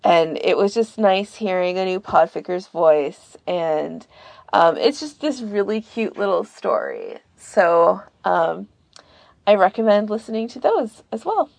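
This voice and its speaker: A woman's voice: mid-range voice, very animated, a little slow, gravelly, no room sound. A woman podcast co-host chatting casually while she gives recommendations. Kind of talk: casual chat, giving recommendations.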